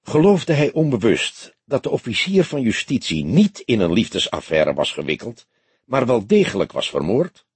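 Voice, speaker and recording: A man's voice: medium pitch, very animated, normal speed, breathy edge, no room sound. A man reading a detective novel aloud as an audiobook narrator, in scripted storytelling style.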